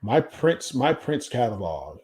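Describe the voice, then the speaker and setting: A man's voice: mid-range voice, sing-song, measured pace, darkish tone, slightly rough. American man chatting, repeating himself. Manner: repeats his phrases. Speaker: podcast co-host. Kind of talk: casual music chat.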